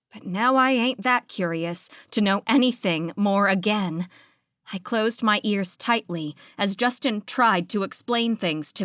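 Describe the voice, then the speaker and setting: A woman's voice: medium pitch, a bit bright, no echo, muffled recording. A woman reading first-person fiction as a scripted audiobook narration.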